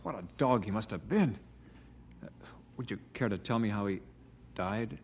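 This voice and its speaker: Polite visitor, radio drama, sympathetic, lowish voice. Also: male voice, animated, normal speed, gravelly, darkish tone, husky, full character voice. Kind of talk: 1950s radio drama acting.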